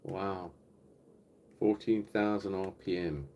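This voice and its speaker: Deep-voiced South African man chatting. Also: takes it slowly. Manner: relaxed and rambling, reads out chat comments. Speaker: livestream host. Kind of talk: casual live Q&A chat. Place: home, indoors.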